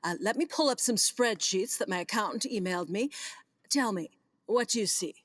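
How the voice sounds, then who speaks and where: A woman's voice: mid-range voice, lively intonation, moderate pace, no room sound, clean studio sound, natural voice. A woman acting a gracious, commanding, flirtatious vineyard owner with genteel, theatrical emphasis in a comedic radio play. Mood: charmed.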